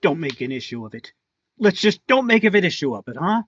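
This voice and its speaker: Actor as tense, volatile young husband. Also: male voice, high voice, very animated, a little fast, no room sound, dull audio, exaggerated voice. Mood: uneasy, defensive. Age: young adult. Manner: tense, clipped, forceful. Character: volatile, jealous young husband, turning menacing. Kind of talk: 1950s-style radio crime drama acting.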